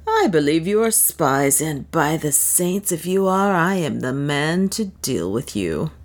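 A coarse, menacing chief of traders snarls in thick tones, as a woman reading a storybook puts him on. The pitch sits below the reader's already low voice. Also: big pitch swings, unhurried, full character voice. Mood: hostile.

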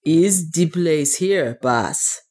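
A woman narrator plays a fearful, superstitious dwarf servant from an adventure storybook. The voice is low, and he talks in old-fashioned, self-pitying and deferential phrases. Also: animated, extremely slow, darkish tone, husky, exaggerated voice. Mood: cautious.

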